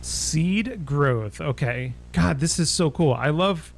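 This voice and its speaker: A man hosting a reaction video, giving casual commentary with muttered asides, quick exclamations and chuckles. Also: on the high side, lively intonation, vocal fry, slight background noise.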